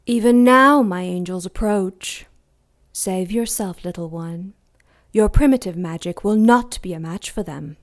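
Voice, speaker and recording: Actress as ancient female demon, haughty, vengeful, contemptuous, mid pitch, radio drama. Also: measured pace, natural voice. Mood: menacing. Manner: grandiose, menacing, contemptuous. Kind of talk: audio drama acting.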